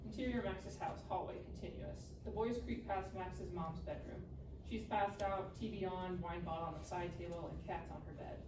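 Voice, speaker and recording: Female stage-direction reader for a live script reading, lower voice, distant from the mic. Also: moderate pace. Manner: reads out clipped scene directions. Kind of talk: scripted narration at live table read. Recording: echoey room, distant mic, background noise.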